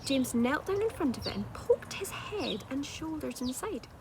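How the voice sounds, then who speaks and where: A woman with a slightly high voice reads a children's story aloud. Her delivery is measured and dramatic, like a teacher's. There is clear noise in the recording. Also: sing-song. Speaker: primary school teacher reading aloud. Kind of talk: expressive children's storybook narration. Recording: clearly noisy home-made recording.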